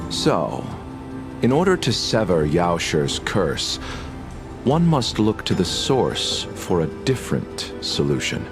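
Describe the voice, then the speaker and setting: A grave, scheming envoy in a video game. His voice is deep and animated, with formal, courteous phrasing over a bed of music. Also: gravelly, heavily acted. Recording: music playing behind the voice.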